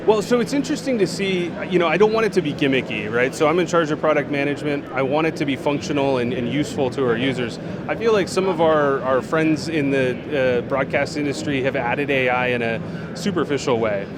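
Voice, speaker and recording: A man speaks in a mid-range voice, relaxed and chatty, often pausing on "um" and restarting his sentences. He is answering questions as a guest at a busy trade show, and noise from the floor carries clearly behind him. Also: medium pitch. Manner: relaxed, frequent fillers and restarts. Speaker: product manager, interview guest. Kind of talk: casual trade-show interview.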